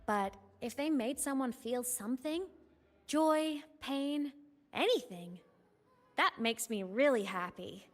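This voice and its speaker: A high, youthful female voice for a game heroine, an earnest and wistful student dreamer. She speaks with heartfelt feeling in long hall reverb, with music behind her. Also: animated, slow pace. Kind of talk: emotive video game story acting.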